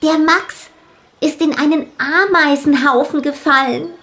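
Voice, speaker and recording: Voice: high voice, fairly even intonation, unhurried, pushed and bright, slight background noise. A performer voicing a small young female ladybird, eager and impatient, in a children's storybook. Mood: explaining. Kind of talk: children's storybook character acting.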